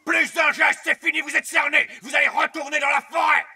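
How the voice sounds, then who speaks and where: A man voicing a brash, excitable cartoon animal catcher with a gravelly voice and a hearty, blustering manner. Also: extremely high pitch, a little fast, shouting. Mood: triumphant. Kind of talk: French-language cartoon dub acting. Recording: cartoon music behind the voice.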